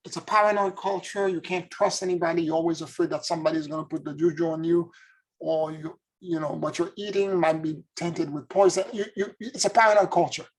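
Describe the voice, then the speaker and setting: A man's voice: on the high side, steady tone, a little fast, low-quality recording. A man from Haiti, a spiritual teacher, giving an earnest, introspective monologue and searching for his words as he goes. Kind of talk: reflective spoken monologue.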